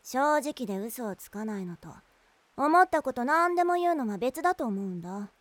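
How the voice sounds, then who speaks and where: An actress voicing a level-headed teenage schoolgirl in a voice drama, talking calmly and earnestly. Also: sits high, normal speed, no echo. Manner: calm, reasoning and earnest. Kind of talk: amateur voice drama.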